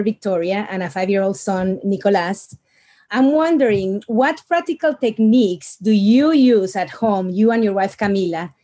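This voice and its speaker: A woman's voice: on the high side, darkish tone. A woman from the Dominican Republic, an audience member politely and eagerly asking a question.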